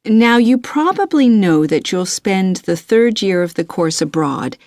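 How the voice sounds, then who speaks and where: A woman playing a courteous, methodical university tutor in a scripted listening-test dialogue, measured and encouraging in her advice. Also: mid-range voice, sing-song, darkish tone, dry recording, clean studio sound. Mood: courteous, advisory.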